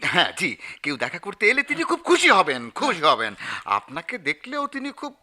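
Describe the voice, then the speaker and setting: Flustered, sharp-voiced newspaper editor. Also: male voice, sits high, huge pitch swings, normal speed, full character voice. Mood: placating. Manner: stammering, repetitive, nervously deferential. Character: flustered, evasive, placating male newspaper editor. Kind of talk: Bengali radio play acting.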